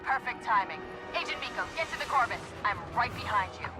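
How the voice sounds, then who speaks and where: Female imperial commander for a game, woman acting: dutiful, controlled, crisp orders, higher register, score underneath. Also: lively intonation, a little fast, loud music behind the voice, lightly acted. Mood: brisk resolve. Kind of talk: cinematic video game acting.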